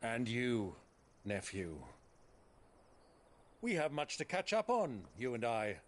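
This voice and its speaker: Slow-spoken, courtly gentleman uncle. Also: medium pitch, sing-song. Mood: fond, jaunty. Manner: affectionate, courtly, wry. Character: warm, roguish older uncle and gentleman adventurer. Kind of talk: dramatic video game acting.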